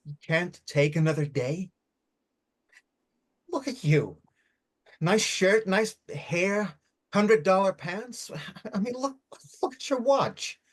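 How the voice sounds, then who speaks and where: An actor playing a bitter, worn-out addict in despair in a drama reading, agitated, defensive and stumbling over words. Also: male voice, high voice, lively intonation, slow pace, long pauses, exaggerated voice. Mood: scornful, mocking. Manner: agitated, defensive, stammering, swearing. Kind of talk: script reading of a stage drama.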